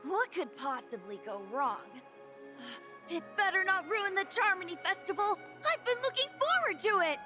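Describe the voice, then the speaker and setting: An excitable, childlike festival fan in a video game. The voice is extremely high and leaps about with indignant eagerness. Music plays clearly behind. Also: female voice, normal speed, exaggerated voice.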